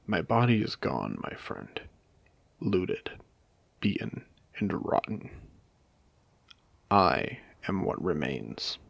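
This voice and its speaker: A man playing a wry, courteous AI that was once human, speaking formally and deliberately in a dramatic audio roleplay. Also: deep voice, very animated, vocal fry, fairly bright tone, husky, no room sound, full character voice. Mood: somber. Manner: articulate, theatrical, deliberate, formal wording. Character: wry, courteous male AI, once human, haunting a ruined casino. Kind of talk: dramatic audio roleplay monologue addressed to a listener.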